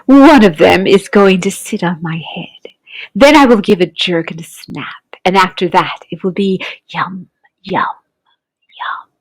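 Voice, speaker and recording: A woman voicing a sly, greedy male crocodile who gloats in a low hissing whisper. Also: a little slow, vocal fry, breathy edge, dry recording, low-quality recording. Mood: gloating.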